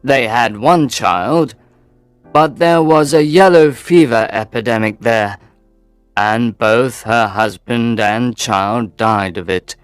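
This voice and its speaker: An actor playing an upset Victorian gentleman who earnestly pleads for help with a marriage problem. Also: mid-range voice, no room sound, natural voice. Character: worried, upset Victorian male gentleman visitor.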